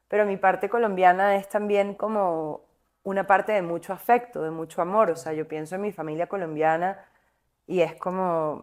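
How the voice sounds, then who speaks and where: A young woman from Caracas with a Venezuelan accent, a singer talking reflectively as an interview guest, with fillers and restarts. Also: fairly low pitch. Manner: thoughtful, winding, many fillers and restarts.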